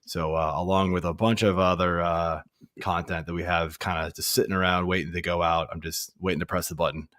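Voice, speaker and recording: A man's voice: deep voice, level tone, a little fast, fairly bright tone, no room sound, studio quality. A man in his thirties, a guest analyst on a sports podcast, talking casually and rambling with lots of "I mean.". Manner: rambling, full of restarts and "I mean". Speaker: guest fantasy football analyst.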